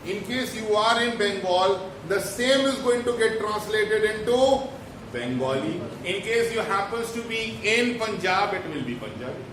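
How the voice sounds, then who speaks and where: Indian English teacher in an echoey room. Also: male voice, high voice, expressive, a little slow. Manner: explains terms step by step, repeats for emphasis. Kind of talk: classroom lecture. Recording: echoey room, mic at a distance, noisy.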